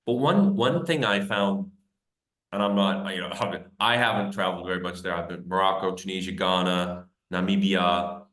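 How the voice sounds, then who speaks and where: A man with a very low voice, talking as a guest author in an easygoing, rambling way. His casual phrasing leans heavily on "like" and "you know", and the room around him rings with echo. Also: normal speed, a bit bright. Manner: loose, conversational, heavy use of "like". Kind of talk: casual interview chat. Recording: roomy echo.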